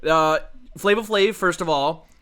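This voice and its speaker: Guy podcast host, bright highish voice, really lively, casual recap chat with some swearing. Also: measured pace, smooth.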